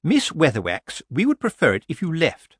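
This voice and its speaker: A man's voice: on the high side, huge pitch swings, normal speed, fairly bright tone, husky, no echo, studio quality, pitched above the performer's own voice, full character voice. A man voicing a stern, officious village witch in a storybook, prim and accusing in manner. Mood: stiffly formal.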